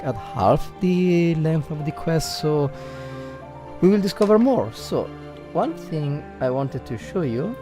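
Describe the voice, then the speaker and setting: A man with an Italian accent, a native Italian speaker livestreaming casual game commentary, thinking aloud and searching for words. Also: fairly high pitch. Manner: hesitant, searching for words, reflective.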